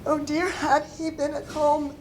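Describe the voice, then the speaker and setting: Slow, grieving older mother voiced by a woman. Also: high voice, flat delivery, a little hiss or hum. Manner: solemn, deliberate, mournful. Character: grieving, devout Civil War mother writing to her child. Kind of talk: dramatic letter reading. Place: indoor stage before a projection screen.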